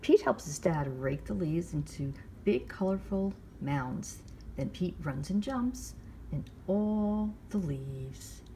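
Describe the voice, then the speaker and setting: A woman hosting storytime, reading a picture book aloud to young children with measured, expressive phrasing. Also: on the low side, slight background noise. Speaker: library storytime host.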